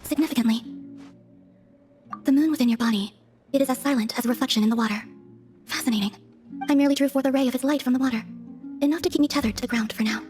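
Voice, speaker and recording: A woman playing a serene, enigmatic young moon goddess in a video game cutscene, speaking formally, softly and with detachment. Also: sits high, soft background music, lightly acted. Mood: calm. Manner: measured formal phrasing, soft, detached.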